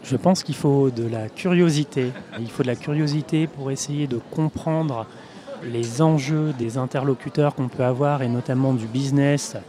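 A man answering interview questions at a trade fair, speaking in measured phrases with frequent hesitations. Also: mid-range voice, dark tone. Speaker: in-house lawyer, guest.

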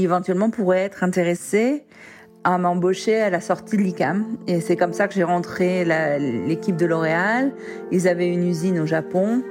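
A chatty woman in her fifties recounts her working life as an interview guest, mid-pitched, slipping English phrases into her speech. Faint music sits low behind her voice. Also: a little mellow. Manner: chatty, sprinkles English words and lots of "en fait". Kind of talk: casual interview storytelling.

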